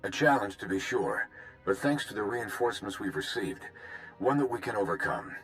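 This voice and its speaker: An actor playing a confident fleet admiral in a video game, giving a clipped, formal briefing. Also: male voice, on the low side, expressive, moderate pace, a bit bright, faint music behind, rough recording.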